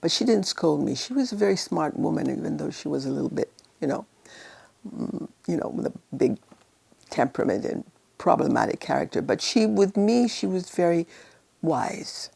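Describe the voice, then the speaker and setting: An older woman in her eighties with a very low voice and a gravelly edge. She tells her life story in a casual interview, wandering between memories and laughing often. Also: very animated, moderate pace, darkish tone. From Italy. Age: 80s. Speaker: actress interviewee.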